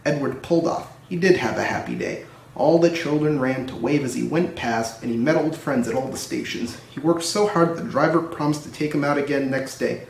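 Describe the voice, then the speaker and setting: A man's voice: mid-range voice, fairly quick, creaky, slight background noise. A man narrating a children's story as a storybook reader. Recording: roomy echo, distant microphone.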